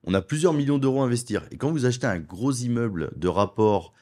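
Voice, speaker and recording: A middle-aged man from France, a wealth advisor in a studio interview, making his case persuasively and listing points in quick runs. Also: medium pitch, animated, dark tone. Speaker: wealth advisor and property investment guest. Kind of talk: conversational interview.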